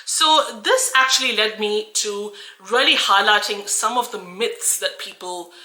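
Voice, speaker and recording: A woman's voice: mid-range voice, unhurried, bright, forceful tone, echoey room, poor audio. A woman who teaches English, telling a personal story to camera in an earnest, emphatic way. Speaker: English teacher and channel host.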